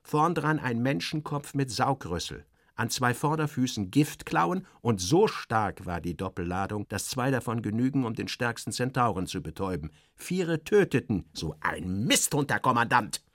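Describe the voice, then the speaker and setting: A man's voice: medium pitch, very animated, moderate pace, hardly any pauses, a bit bright, no echo, clean studio sound. A man performing dramatic first-person literary narration in character, wry and theatrical, in clipped phrases.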